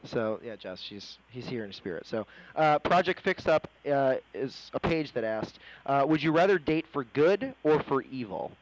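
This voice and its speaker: A man's voice: mid-range voice, animated, moderate pace, hardly any pauses, rough recording. An American man working as an interviewer, chatting informally as he reads out questions in a casual interview. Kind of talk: casual phone interview, reading out reader questions.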